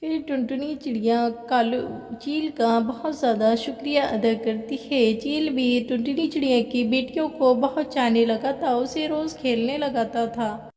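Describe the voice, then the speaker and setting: A woman's voice: high voice, normal speed, darkish tone. A woman narrating a scripted moral story for children as the storyteller. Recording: long hall reverb.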